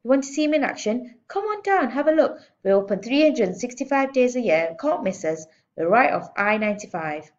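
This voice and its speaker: A woman voicing a brash, scheming middle-aged male boss who talks loud and boastful like a salesman. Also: fairly high pitch, sing-song, fairly quick, muffled recording, voice pushed up. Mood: salesy.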